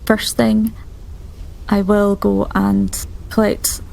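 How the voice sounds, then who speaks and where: A woman playing a tabletop game, describing her character's actions in a casual, thoughtful, halting way. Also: mid-range voice, monotone, vocal fry, a little mellow, rough recording. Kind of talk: casual improvised roleplay description.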